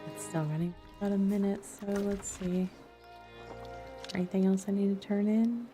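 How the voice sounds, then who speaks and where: A woman's voice: medium pitch, steady tone, moderate pace. A woman game streamer giving casual let's-play commentary, thinking aloud and muttering to herself as she plays. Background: chill background music and game sounds.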